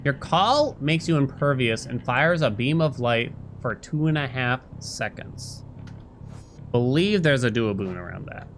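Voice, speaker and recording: A man's voice: mid-range voice, animated, a little slow, a little hiss or hum. A man giving casual live gameplay commentary, thinking aloud, chatting with viewers and reading bits of on-screen text. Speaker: game streamer talking to live chat. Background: game music.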